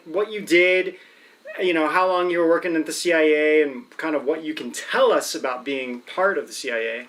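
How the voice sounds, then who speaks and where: A man's voice: a little high, a bit bright. A man hosting an interview show, chatty and friendly, with many fillers as he leads into questions. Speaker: show host.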